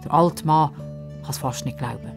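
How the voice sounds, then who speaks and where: A woman's voice: low-pitched, normal speed, gravelly, music in the background. A woman with a Swiss German accent narrating a scripted children's story.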